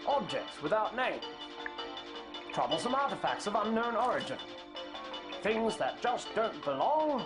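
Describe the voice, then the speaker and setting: An exaggerated cartoon TV advert announcer with a very animated pitch. The delivery is salesy and reassuring, full of rhetorical emphasis, over clear background music. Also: a little slow, long pauses. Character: pushy, reassuring government television advert announcer. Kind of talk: parody television commercial in an animated film.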